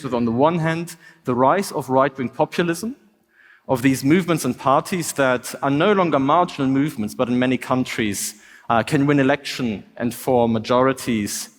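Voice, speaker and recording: German-accented man lecturing in a reverberant hall. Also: medium pitch. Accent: German with a slight British tinge. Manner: measured, articulate, with frequent "uh" fillers. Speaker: conference speaker. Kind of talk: academic conference lecture. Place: conference hall.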